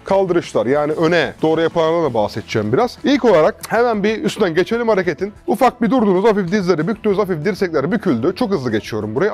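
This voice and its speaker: A young man in his twenties, a fitness coach giving a casual, energetic workout tutorial in a large gym, chatting to viewers like friends on a lapel mic. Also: high voice.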